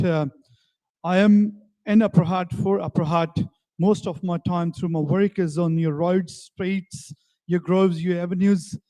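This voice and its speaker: A man's voice: high voice, mellow, rough recording. A man giving an earnest campaign closing statement as a council candidate, pleading for votes.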